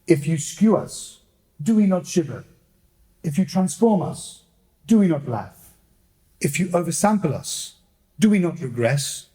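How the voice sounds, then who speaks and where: A man's voice: on the high side, expressive, unhurried, pauses often, mellow, slightly rough. A man playing a grandiose theatre host and poet in a radio play, pompous and declamatory.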